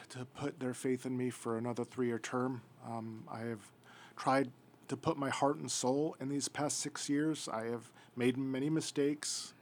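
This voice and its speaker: A man seeking reelection at a local candidate forum, speaking sincerely and gratefully, halting with fillers. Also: medium pitch.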